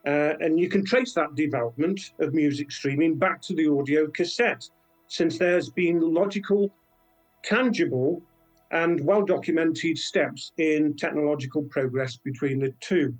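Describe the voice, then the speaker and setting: Older British man, higher-pitched voice. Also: soft background music, rough recording. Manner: hesitant, measured, thoughtful. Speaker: interview guest, science fiction author. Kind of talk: explanatory interview answers.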